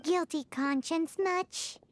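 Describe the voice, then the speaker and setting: A woman voicing a tiny childlike fairy companion in a video game, squeaky, blunt and excitable. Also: takes it slowly, slight background noise. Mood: puzzled, teasing. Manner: blunt, exclamatory. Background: background music.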